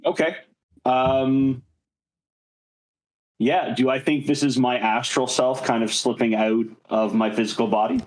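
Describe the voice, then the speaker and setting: A man of average pitch takes part in a tabletop role-playing game as a player. He talks casually, working out his character's actions out loud in a deliberate way. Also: steady tone, poor audio.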